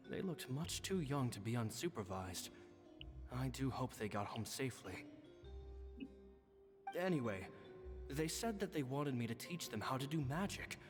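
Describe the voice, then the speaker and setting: An actor playing a kindly, humble male stage magician, reading his diary in an earnest, gentle way in a game voice-over. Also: medium pitch, lightly acted. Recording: game audio with long reverb and music.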